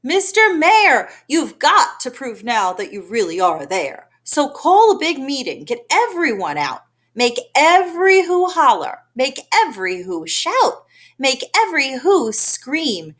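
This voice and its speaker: A woman's voice: high-pitched, sing-song, measured pace, a bit bright, pitched above the performer's own voice, exaggerated voice. A woman voicing an earnest, kindly male elephant in a children's storybook, pleading and urgent. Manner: urgent, imploring. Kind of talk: children's storybook read-aloud.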